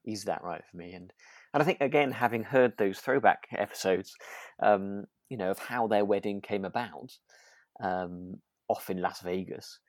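A man's voice: fairly low pitch, moderate pace, no room sound. A man co-hosting a podcast, chatting casually and rather tentatively about a radio drama. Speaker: podcast co-host.

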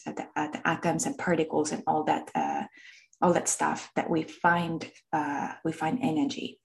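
A woman's voice: low-pitched, vocal fry, a little mellow. A woman from Finland with a Finnish accent, earnestly explaining her teaching with many fillers and repeated words for emphasis. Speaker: frequency work teacher. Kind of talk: spoken introduction and teaching.

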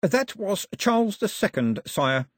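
A man plays a storybook king's aide, grave and deferential. He is formal and dryly pedantic, his pitch lifted above the narrator's. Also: very animated, measured pace, dry recording, clean studio sound. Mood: discreet. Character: grave, deferential gentleman in royal service, dryly courteous.

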